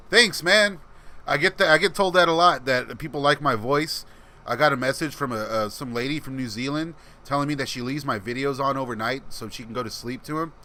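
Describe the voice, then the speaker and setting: Man, livestream host, rambling chat, soothing yet bright voice, middle pitch. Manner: laid-back, rambling, answers chat comments. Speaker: channel host reading live chat.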